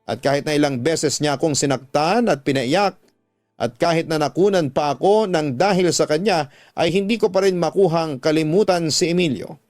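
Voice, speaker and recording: Sorrowful male storyteller, highish and dry. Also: moderate pace, darkish tone, dry recording. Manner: dramatic, sorrowful. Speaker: radio drama narrator reading a letter sender's story. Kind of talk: emotive scripted first-person narration. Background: faint background music.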